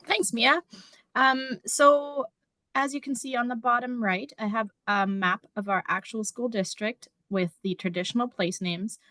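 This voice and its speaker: A woman's voice: a little high, steady tone, a little slow, pushed and bright, no room sound. A woman from Germany, a district numeracy teacher, explaining casually with frequent ums during a conference talk over a video call. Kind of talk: conference presentation.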